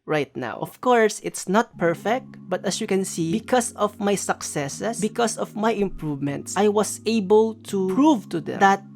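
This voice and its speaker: A man's voice: sits high, lively intonation, normal speed, creaky, few pauses. A young man giving an earnest, emphatic motivational talk to camera, repeating his key points. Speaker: YouTuber and former lecturer.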